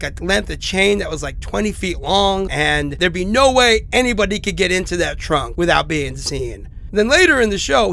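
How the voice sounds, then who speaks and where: An actor playing an isolated, unwell man leaving halting, confiding, informal messages in a horror audio drama. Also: high-pitched, gravelly, fairly bright tone, full character voice. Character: isolated, sick, rambling man enduring supernatural peril.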